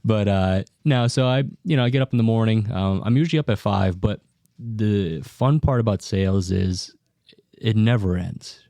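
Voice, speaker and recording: A man's voice: lowish voice, normal speed, vocal fry, dark tone, no room sound, clean studio sound. A young man, an equipment sales representative, chatting casually on a podcast, joking often with lots of fillers.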